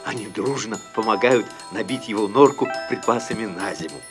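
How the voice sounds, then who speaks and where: A man playing a gentle television nature-programme narrator in a cartoon, reading scripted documentary lines. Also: lowish voice, normal speed, close to an everyday voice. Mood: soothing. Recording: music behind a television voice.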